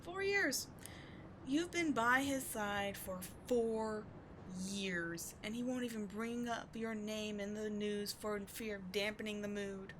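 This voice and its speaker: A woman's voice: medium pitch, takes it slowly, natural voice. A woman playing a bitter, wounded villain who secretly cares, sardonic and exasperated, in a dramatic ASMR audio roleplay. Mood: bitter, contemptuous. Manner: sardonic, exasperated, emotionally intense. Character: bitter, wounded female villain secretly caring for rival sidekick. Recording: clearly audible background noise.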